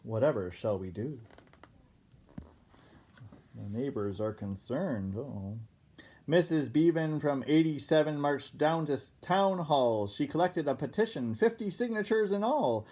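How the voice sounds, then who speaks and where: A teacher reading a picture book aloud expressively, pausing for casual asides to young listeners. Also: mid-range voice, animated, a little mellow.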